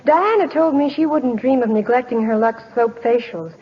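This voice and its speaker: A woman's voice: fairly high pitch, moderate pace, slight background noise. A woman in her twenties giving scripted promotional chat as a 1940s radio guest. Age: 20s. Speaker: actress guest endorsing soap. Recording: dull old radio broadcast.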